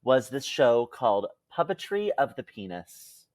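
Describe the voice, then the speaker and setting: A man's voice: medium pitch, sing-song, unhurried, darkish tone, no room sound. A man co-hosting a podcast, telling stories in an informal, conversational way. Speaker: podcast co-host. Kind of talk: casual podcast chat.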